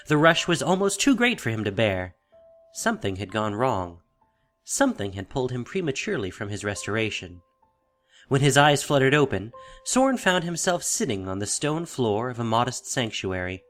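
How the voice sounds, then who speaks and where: Male narrator, dramatic fantasy storytelling, animated intonation, measured delivery, faint music underneath. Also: mid-range voice.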